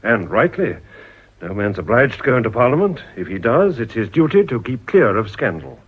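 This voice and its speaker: An actor with an English accent playing an earnest, ambitious Edwardian politician in a period radio drama. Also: male voice, mid-range voice, big pitch swings, normal speed, breathy edge. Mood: eager, confiding. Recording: dull, slightly muffled old radio recording.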